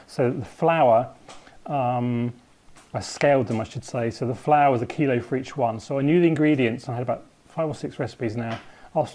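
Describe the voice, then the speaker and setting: A man's voice: mid-range voice, a little mellow. A man, a home baker explaining his recipe to camera in a loose, thinking-aloud way with plenty of ums.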